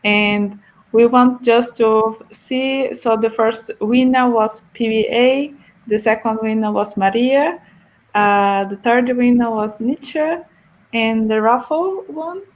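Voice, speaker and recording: Brief: woman organizer, cheerful, higher voice, video call. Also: slow, rough recording. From Brazil. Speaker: event co-organizer. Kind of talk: online event talk.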